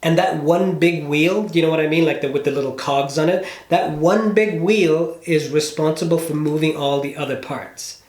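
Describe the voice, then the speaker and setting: A man with a slightly high voice speaks in a roomy, echoing space. He coaches in a casual, conversational way, explaining with emphasis and tossing in little check-ins as he goes. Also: moderate pace, a little mellow. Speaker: business coach. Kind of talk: casual coaching phone call. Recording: roomy, echoey room sound.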